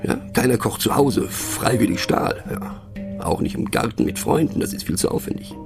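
An actor playing a musing, mocking, eccentric narrator in a satirical radio monologue, halting and conspiratorial, often sinking to a near whisper, with a gravelly, husky voice. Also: male voice, medium pitch, animated, over music. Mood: mock-earnest, wry. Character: musing, mocking, eccentric male narrator, rambling and self-doubting.

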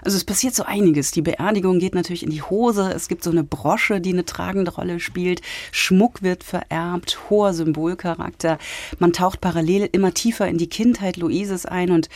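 A woman's voice: lowish voice, steady tone, normal speed, a little mellow, dry recording. A woman giving a conversational book review on the radio, telling it expressively as a literary critic. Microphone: close studio microphone.

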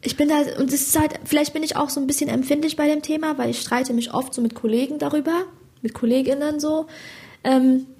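A young woman from Hesse with a Hessian accent, a stand-up comedian chatting in an interview, animated and slangy, often asking "you know?". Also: sits high, a little fast. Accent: Hessian German. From Hesse, Germany. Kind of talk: casual interview chat.

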